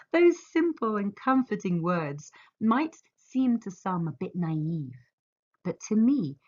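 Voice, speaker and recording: Low, expressive English woman reading reflectively. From England. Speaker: author reading her foreword. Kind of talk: scripted reflective narration. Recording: slightly dull, muffled audio.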